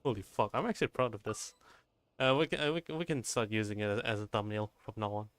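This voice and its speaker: A man's voice: on the low side, steady tone, fast, fairly bright tone, clear, resonant tone, dry recording. A man livestreaming a game, chatting casually and informally, often thinking aloud and repeating his phrases. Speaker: game streamer. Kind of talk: casual livestream gameplay chat.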